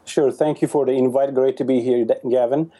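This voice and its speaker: A man from Turkey, a guest answering questions in a friendly, halting way. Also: medium pitch, steady tone, mellow. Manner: friendly, with frequent hesitations. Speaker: podcast guest, wedding photographer. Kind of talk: casual interview answers.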